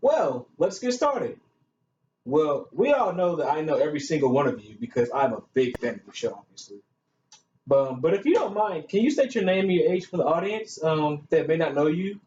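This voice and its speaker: A man's voice: on the high side, big pitch swings, moderate pace, darkish tone. A man playing a gushing, chatty talk show host in a staged amateur show. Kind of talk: hosting a staged student-made talk show. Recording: echoey, muffled room recording with hiss.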